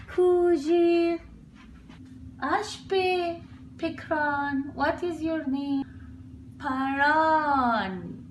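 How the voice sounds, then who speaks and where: A woman teaching children's Sunday school, from Egypt, slow and sing-song, playful with the kids. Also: sits high. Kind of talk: children's language lesson. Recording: echoey room, faint background hum.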